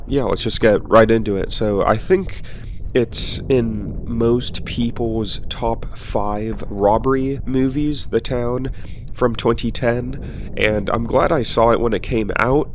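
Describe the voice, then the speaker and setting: A man hosting a weapons channel with a cold and a sniffly voice, talking through a film in a relaxed, rambling way with plenty of ums and uhs. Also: mid-range voice, slow, slight background noise. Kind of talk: casual film-weapons commentary.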